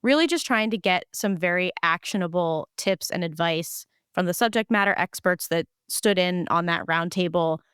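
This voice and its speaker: A woman's voice: on the low side, normal speed, hardly any pauses, a bit bright, smooth, no echo. An enthusiastic woman hosts a podcast interview and walks through research findings. Her voice is clean and resonant, recorded dry and close. She sounds upbeat and eager.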